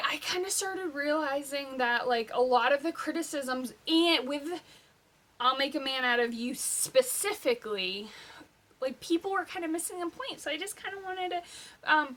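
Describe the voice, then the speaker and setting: A young woman hosting a pop culture vlog, chatting casually in an animated, halting, informal way with emphatic asides. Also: sits high. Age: young adult. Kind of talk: casual opinionated vlog chat.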